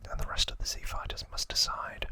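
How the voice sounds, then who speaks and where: Guy doing asmr whisper explainer, super breathy n bright, really slow with lots of pauses. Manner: slow, hushed, deliberate phrasing with pauses. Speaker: ASMR video host. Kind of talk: whispered ASMR explainer, scripted-feeling trivia retelling with asides.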